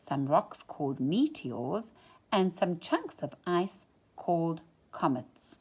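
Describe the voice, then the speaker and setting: Female storyteller for a children's picture book: lowish pitch, lively swooping intonation, hushed dramatic touches. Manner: dramatic pauses, dropping to hushed near-whispers for effect. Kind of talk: expressive read-aloud storytelling.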